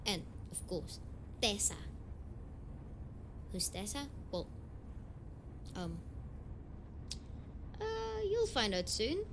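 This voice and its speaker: A young woman playing a blunt, defensive tsundere delinquent girlfriend in an amateur romantic audio roleplay, speaking haltingly and swearing. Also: medium pitch, wildly swooping pitch, noisy background, heavily acted. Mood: grumbling, evasive. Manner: hesitant, halting, foul-mouthed, defensive.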